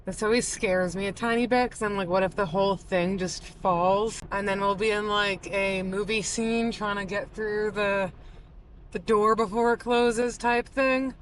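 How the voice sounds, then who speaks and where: A woman's voice: mid-range voice, fairly even intonation, measured pace, a little hiss or hum. A young woman from the US East Coast, narrating a casual travel vlog in a chatty, upbeat way with lots of "like". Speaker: vlogger. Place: inside a car on a road trip.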